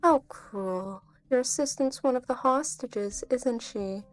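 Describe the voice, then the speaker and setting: A woman's voice: a little high, slow, no room sound, faint music behind. A woman playing a sly covert agent in a game fan dub, teasing and insinuating with cool menace. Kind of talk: scripted anime game dub acting.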